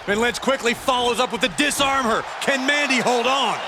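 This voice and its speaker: A man giving excited, dramatic wrestling commentary, shouting his calls over loud arena noise. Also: very high-pitched, normal speed, a crowd in the background.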